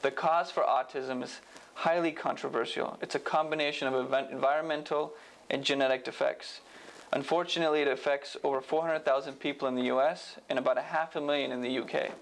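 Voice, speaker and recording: A man's voice: mid-range voice, steady tone, brisk, gravelly, rough recording. A young adult man giving a scripted educational presentation as a medical student, in a formal, explanatory manner.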